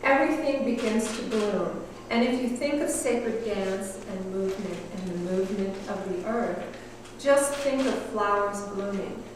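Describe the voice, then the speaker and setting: A woman giving a talk to a gathered audience as guest speaker, reading her own poetic passages in a slow, measured, lyrical way. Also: medium pitch, noisy background. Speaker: guest speaker, sacred dancer and priestess. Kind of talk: lecture with read-aloud poetic passages. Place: meeting room in front of an audience. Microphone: room microphone heard from a distance. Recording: echoey hall, voice far from the mic.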